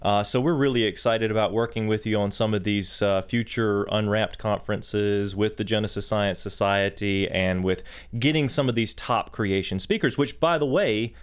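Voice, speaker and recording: A man's voice: fairly low pitch, moderate pace, hardly any pauses, clear, resonant tone. A man hosting a studio talk show on faith, speaking in an emphatic, preacher-like way. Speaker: host.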